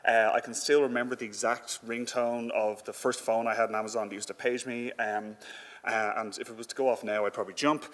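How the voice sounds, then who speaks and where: A man's voice: medium pitch, fairly bright tone. Hesitant male conference speaker in echoey hall. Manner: hesitant, conversational, frequent filler words. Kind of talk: informal conference presentation. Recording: echoey hall with long reverb.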